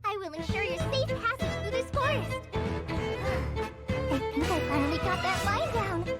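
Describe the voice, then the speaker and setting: A shy, nervous young trainee forest ranger speaks hesitantly in a very high voice. Loud string game music fills the background of this game trailer clip. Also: female voice, expressive, moderate pace. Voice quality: timid, hesitant.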